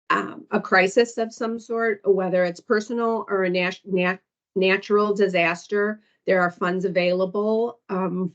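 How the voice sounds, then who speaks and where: A woman on a conference call walking through slides for an orientation, hesitant and full of ums as she reads down lists. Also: medium pitch. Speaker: foundation staff presenter. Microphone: conference call audio. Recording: dull lo-fi call recording.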